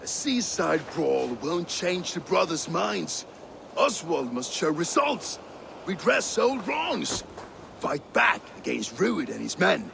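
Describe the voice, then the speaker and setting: An actor voicing a scornful Dane warrior in a video game, demanding and theatrical. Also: male voice, high-pitched, big pitch swings, takes it slowly, exaggerated voice. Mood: stern, advising. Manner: jeering, theatrical. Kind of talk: dramatic video game acting. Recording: clearly noisy game background ambience.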